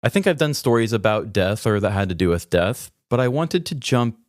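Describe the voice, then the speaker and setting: A man's voice: lowish voice, sing-song, fairly quick, no echo, studio quality. A man hosting a podcast, talking casually and narrating his own writing. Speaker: podcast host and story writer.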